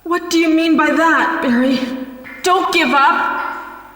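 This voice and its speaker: A concerned young female officer in an old video game, her voice very high and her lines slow and stilted. The sound is echoey, with a long reverb. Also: bright, forceful tone, heavily acted.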